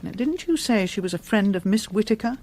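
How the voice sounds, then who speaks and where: An actress playing an inquisitive, opinionated middle-aged friend of a detective in a radio drama, with a brisk, wry English manner and accent. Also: female voice, medium pitch, expressive, darkish tone, natural voice.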